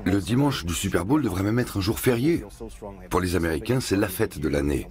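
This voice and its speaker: A man's voice: low-pitched, expressive, a little fast. A man giving emphatic interview testimony.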